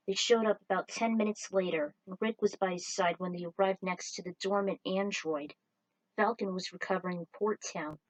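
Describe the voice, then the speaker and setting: A woman's voice: medium pitch, no room sound, recorded from a distance, rough recording. A woman reading a scripted story as its narrator, stumbling over the odd word. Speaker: narrator reading own fan fiction.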